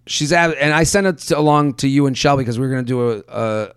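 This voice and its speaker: Smooth-voiced man hosting a podcast. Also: mid-range voice, fast talker, dry recording, clean studio sound. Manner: animated, reads ads with repeated promo codes. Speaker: podcast host. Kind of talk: casual podcast chat and reading listener emails.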